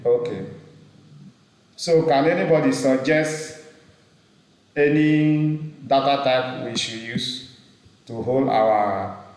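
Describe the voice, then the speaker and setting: A man teaching a database class on a video call, speaking slowly, repeating himself and pausing to check the chat. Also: medium pitch, darkish tone, very echoey, poor audio. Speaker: instructor. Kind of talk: live online class teaching. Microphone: computer mic on a video call.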